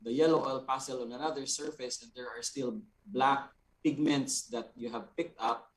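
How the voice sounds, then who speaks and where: A man's voice: medium pitch, fairly even intonation, normal speed, rough recording. Filipino man explaining patiently, step by step. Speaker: art instructor answering participants' questions. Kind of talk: unscripted teaching, practical question and answer. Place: remote video call. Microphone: video call.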